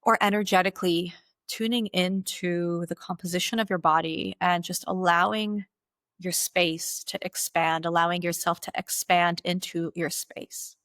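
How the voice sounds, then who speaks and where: A woman with a slightly low voice guides a meditation. She gives instructions slowly and deliberately, leaving pauses between phrases. The sound is dry, with very little room in it. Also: steady tone, a bit bright, dry recording.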